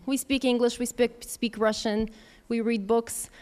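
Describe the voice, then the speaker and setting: A woman's voice: fairly high pitch, steady tone, a little slow, a little mellow. A woman in her thirties answering audience questions in a candid, conversational way, thinking aloud as she goes. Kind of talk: casual Q&A talk.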